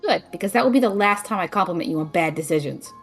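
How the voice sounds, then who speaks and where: A woman's voice: fairly low pitch, animated, fast talker, fairly bright tone, soft background music. A woman playing a spirited, bossy but loyal young female adventurer who teases, in an audio drama. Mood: teasing.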